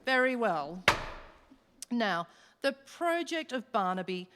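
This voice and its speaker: A woman acts out a devil chairing a council of fiends in a radio play, theatrical and commanding. The voice is fairly high and unhurried, ringing through a hall with long reverb. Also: big pitch swings, noisy background. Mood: stern, official. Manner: theatrical, deliberate, speaking in rhyme. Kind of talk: staged radio play acting. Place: live stage in a hall.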